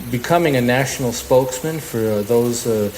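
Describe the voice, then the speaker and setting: A man's voice: mid-range voice, a little mellow, poor audio. Guy hosting a live radio show, stumbles over words a bit, some background noise. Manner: halting, hesitant phrasing with repeated words. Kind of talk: live stage introduction and interview. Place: live stage broadcast show.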